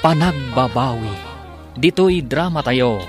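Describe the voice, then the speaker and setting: A man's voice: medium pitch, expressive, slow pace, music in the background. A man with an Ilocano accent announcing and narrating a scripted radio drama.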